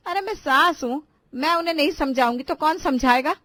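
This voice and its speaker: A woman voicing a bossy, fussy middle-aged mother-in-law in a cartoon family drama, nagging and quick to take offence. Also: high-pitched, fairly bright tone, no echo. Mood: fussy, annoyed. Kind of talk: animated family drama voice acting in Hindi.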